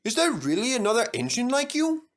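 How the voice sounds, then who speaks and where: A man's voice: very high-pitched, wildly swooping pitch, a little fast, voice pushed up, full character voice. A man voicing a proud, cheerful railway owner making a speech in a storybook reading.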